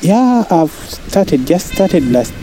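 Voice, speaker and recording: Mellow-voiced man, halting replies. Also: a little high, big pitch swings, faint music behind. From Uganda. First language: Luganda. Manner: halting, agreeable, fills with yeah and um. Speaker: passerby interviewed on the street. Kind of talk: casual street interview. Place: outdoors on a city sidewalk.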